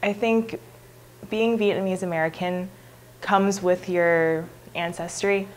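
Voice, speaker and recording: Earnest young woman, low-pitched. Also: fairly even intonation, slow pace, a little hiss or hum. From Texas. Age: young adult. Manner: earnest, leans on "like" and "um". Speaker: student interviewee. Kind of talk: unscripted reflective answers.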